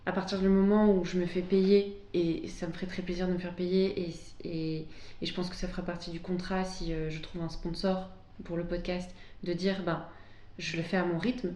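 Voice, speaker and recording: A woman's voice: lowish voice, little pitch movement, moderate pace, warm tone. A woman hosting a podcast, speaking casually and conversationally as she interviews a guest. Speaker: podcast host interviewing a craftsman. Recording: roomy, echoing space.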